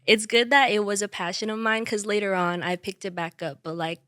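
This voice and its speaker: A young woman from Orange County whose first language was Vietnamese, talking as a guest on a podcast, relaxed, with frequent laughs and fillers. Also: mid-range voice, brisk, no room sound. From Orange County, California. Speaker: guest singer-songwriter. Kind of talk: casual podcast chat.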